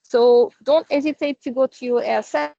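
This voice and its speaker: Female call presenter, non-native English, slightly high voice, informal and explanatory, very little room sound. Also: steady tone, normal speed, creaky, mellow, dry recording. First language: not English. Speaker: presenter leading a company conference call. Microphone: online conference call. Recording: dull, dry online call audio.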